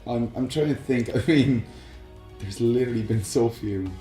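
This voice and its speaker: A man's voice: mid-range voice, moderate pace, warm tone, reverberant, faint music behind. A man in his thirties co-hosting a casual podcast, chatty and laughing often between fillers. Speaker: podcast co-host. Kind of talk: casual chat about films and games.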